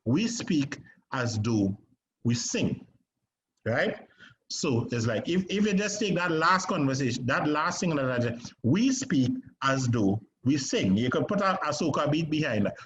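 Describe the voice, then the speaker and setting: A man with a Trinidadian accent leads a stage acting lesson in a lively, animated way, checking in with "right?" as he goes. His voice sits at a middling pitch. The recording is a little dull, with a faint hiss behind it. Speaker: acting teacher.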